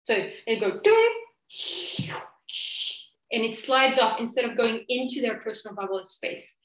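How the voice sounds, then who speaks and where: A woman leading a group training session, speaking softly and letting her phrases trail off. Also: fairly high pitch, echoey room, poor audio. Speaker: training facilitator.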